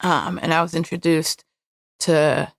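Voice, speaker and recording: Soft-spoken woman with a gravelly edge. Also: low voice, steady tone, a little slow, dry recording, clean studio sound. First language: Hebrew. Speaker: podcast guest. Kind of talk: candid personal interview.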